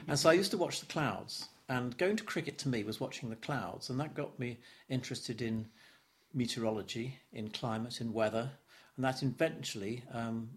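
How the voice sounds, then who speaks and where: A man's voice: mid-range voice, normal speed. An older man in his seventies, from London, looks back on his early years in an oral history interview. He talks in a reflective, stop-start way, tripping over repeated words and chuckling as memories surface. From London, England. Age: 70s.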